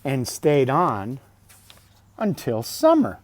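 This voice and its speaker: A man reading a children's picture book aloud expressively, drawing out words, pausing and adding casual asides. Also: mid-range voice, dry recording. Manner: expressive, with drawn-out words and pauses. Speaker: storybook reader.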